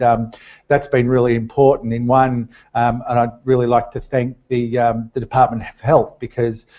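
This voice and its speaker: A middle-aged man gives a semi-formal presentation with a narrow, even pitch range. He moves haltingly from phrase to phrase. The sound is slightly dull and lo-fi, like a seminar webcast. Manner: hesitant, halting delivery. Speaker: seminar presenter introducing a speaker. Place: small indoor seminar room.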